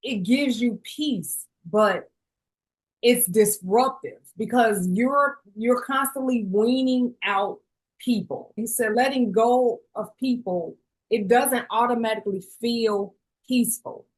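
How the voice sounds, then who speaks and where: A woman's voice: a little high, slow pace, low-quality recording. A woman hosting an interview, asking reflective questions and often affirming the guest. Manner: affirming, keeps saying yes. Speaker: podcast host.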